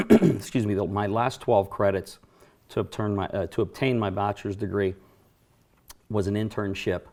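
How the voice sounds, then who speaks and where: Low-voiced middle-aged man reminiscing. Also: steady tone, moderate pace. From Johnstown, Pennsylvania area. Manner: reminiscing, warm storyteller with fillers and self-corrections. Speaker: guest, racing announcer. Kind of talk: casual interview chat. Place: studio.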